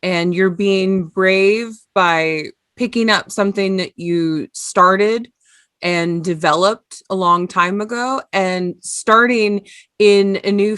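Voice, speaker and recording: A woman's voice: mid-range voice, fairly bright tone, no echo, studio quality. A woman hosting a podcast in casual chat, effusive and encouraging. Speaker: podcast host.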